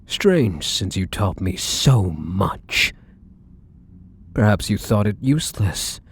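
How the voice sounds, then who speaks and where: A man playing a defiant, protective vampire in an ASMR roleplay, with husky, breathy delivery and theatrical, formal phrasing. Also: on the low side, a little mellow, slight background noise, heavily acted. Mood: menacing, triumphant. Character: defiant, protective young male vampire, bitter and menacing. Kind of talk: ASMR audio roleplay acting.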